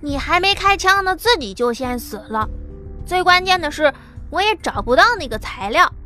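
A woman's voice: high voice, lively intonation, normal speed, bright, forceful tone, soft background music. A woman voicing a brash male workshop boss who eagerly explains his weapon ideas in an audiobook drama. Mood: explaining.

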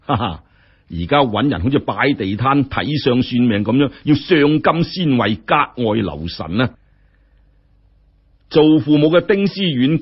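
A man's voice: medium pitch, husky, muffled recording. A man with a Hong Kong Cantonese accent telling a scripted story in a dramatic way.

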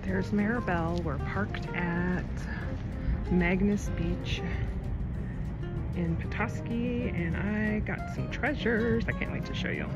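A young American woman filming a casual vlog in her van and on a beach, chatting in an excitable, exclamatory way. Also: mid-range voice, slow pace, highs cut off, loud music behind the voice. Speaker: vlogger. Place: van, then outdoors on a beach.

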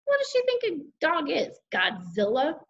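A woman voicing an excitable, mischievous schoolboy who tells his own misadventures in a children's audiobook. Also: high-pitched, a little slow, muffled recording, voice pushed up, heavily acted. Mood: excited, worried. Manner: animated, childlike exclamations and grumbling. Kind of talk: first-person children's audiobook narration.

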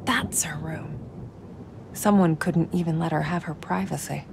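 A woman's voice: low-pitched, measured pace. An actress playing a determined young woman investigating alone in a video game, musing to herself in a dry, wry murmur. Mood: wry, uneasy. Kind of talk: video game acting, murmured inner monologue. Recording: clear ambient game noise behind.

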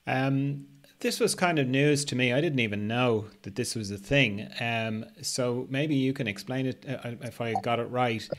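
A man's voice: mid-range voice, normal speed, a bit bright, slight background noise. A man around his sixties hosting a podcast, casually asking questions and thinking aloud in a hesitant way. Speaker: podcast host, interviewer. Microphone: video call with earpiece.

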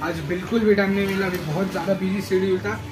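A man's voice: sits high, flat delivery, very fast, over music. A man, chatting casually and colloquially to viewers as a motovlogger, often repeating phrases. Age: 20s. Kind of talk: casual chat to viewers, riding commentary. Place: outdoors on a moving motorbike, partly in a small shop. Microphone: action camera. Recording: noisy, with road and vehicle sounds.

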